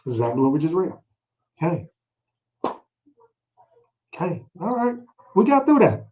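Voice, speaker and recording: Informal male Bible teacher, fairly high voice. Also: sing-song, a little slow, mellow. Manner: colloquial, rhetorical questions and check-ins with listeners. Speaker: Bible teacher leading a read-along. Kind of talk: informal, conversational scripture teaching with personal anecdotes.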